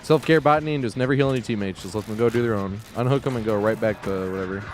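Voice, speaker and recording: A man's voice: fairly low pitch, very fast, a little mellow. A man live-streaming a game, giving laid-back, casual commentary with some swearing and talking half to himself.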